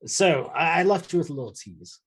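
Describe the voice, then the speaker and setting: A man's voice: fairly high pitch, sing-song, brisk, husky. A man speaking as a co-host in a casual, informal chat.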